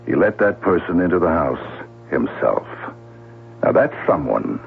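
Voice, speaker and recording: Humming narrowband radio. probing police lieutenant: very low, gravelly, deliberate. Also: male voice, big pitch swings, exaggerated voice. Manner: deliberate, pointed questioning. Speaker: actor. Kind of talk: 1970s radio drama acting. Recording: narrowband old radio broadcast with hum.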